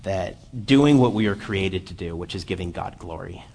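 A man in his late twenties giving a church sermon, lively while telling a story and then quiet and earnest as he reads scripture. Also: low-pitched, moderate pace, creaky, slight background noise. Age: 20s. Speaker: lay preacher.

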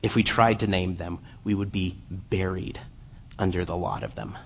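A man in his late twenties giving a church sermon, lively while telling a story and then quiet and earnest as he reads scripture. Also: deep voice, moderate pace, gravelly, husky. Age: 20s. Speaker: lay preacher.

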